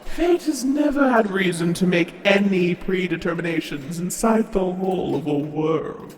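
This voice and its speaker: A woman playing a solemn, inquisitive weaver of fate in an audio drama, with measured, formal speech. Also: fairly low pitch, darkish tone, natural voice. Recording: echoey hall with faint music.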